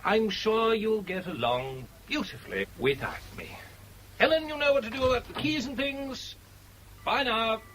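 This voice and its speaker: A man's voice: high-pitched, expressive, normal speed, fairly bright tone, a little hiss or hum, rough recording. An actor with a British accent playing a flirtatious, glib and urbane airline man in a 1970s radio drama. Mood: breezy, teasing.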